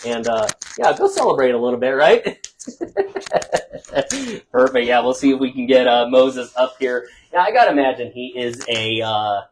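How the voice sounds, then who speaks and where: A man who is a local radio sports broadcaster, loudly giving a chatty postgame wrap-up of scores and stats with many uh fillers, from a press box above a football field. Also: mid-range voice, animated, moderate pace, a little hiss or hum.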